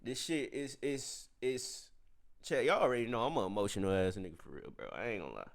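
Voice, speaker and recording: Animated slangy man talking to chat. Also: medium pitch, brisk, creaky. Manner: emotional, says he has tears in his eyes. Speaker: reaction channel host. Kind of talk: casual reaction commentary to viewers.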